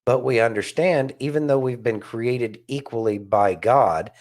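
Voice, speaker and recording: A man's voice: medium pitch, unhurried, a little mellow. A man co-hosting a casual political discussion, speaking emphatically and repeating phrases for stress. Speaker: podcast co-host.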